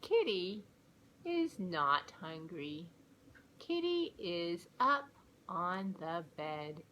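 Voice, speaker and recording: A woman reading aloud slowly to young children like a teacher, with a deliberate, coaching and animated manner. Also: lowish voice, muffled recording, slight background noise. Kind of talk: slow read-along for young children.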